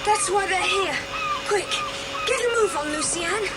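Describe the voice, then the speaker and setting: A very high, brisk young boy adventurer, acted by a woman, plucky and practical, giving orders in exclamations. It is a children's cassette adventure drama, ringing with long reverb over clear background noise. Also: expressive. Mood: urgent, commanding.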